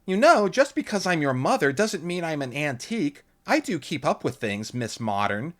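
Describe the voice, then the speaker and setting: A man narrating an audiobook voices a cheerful, oblivious mother in a high, sing-song tone. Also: big pitch swings, moderate pace. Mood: breezy. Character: cheerful motherly human woman, falsely calm.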